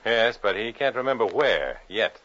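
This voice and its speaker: Low-voiced, wry American noir agent. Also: male voice, expressive, moderate pace, fairly bright tone, a little hiss or hum. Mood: businesslike. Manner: dry, clipped, hardboiled. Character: cool, wry undercover agent posing as a communist. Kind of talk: 1950s radio drama acting and noir narration. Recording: muffled 1950s radio broadcast recording.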